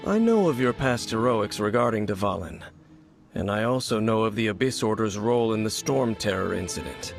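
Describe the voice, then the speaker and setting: A man voicing a grave, aloof and mysterious warrior in a video game, speaking in a measured, formal, solemn manner. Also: lowish voice, expressive, creaky. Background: game music.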